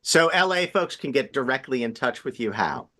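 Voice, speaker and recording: A man's voice: mid-range voice, lively intonation, moderate pace, pushed and bright. A man hosting an online panel in a casual, joking, informal way. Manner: informal, playful. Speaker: panel moderator, host.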